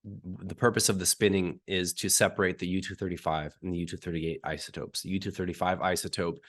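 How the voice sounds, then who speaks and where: Raspy, low-voiced sick man explaining. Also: flat delivery, fairly quick, a bit bright. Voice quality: raspy, frequent throat clearing. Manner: methodical, explains technical detail step by step. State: hoarse from being sick. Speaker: uranium market analyst guest. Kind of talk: explanatory interview answer.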